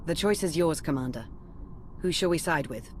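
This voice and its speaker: An actress playing a loyal, level-headed military advisor in a video game, speaking in a crisp, dutiful way. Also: female voice, low voice, expressive, normal speed, slight background noise. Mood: serious. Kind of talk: video game cutscene acting.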